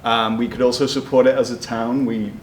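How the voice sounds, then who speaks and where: A man, a local select board member, making a measured civic comment from the audience. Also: medium pitch, little pitch movement, a little hiss or hum. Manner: civic, measured, with some fillers.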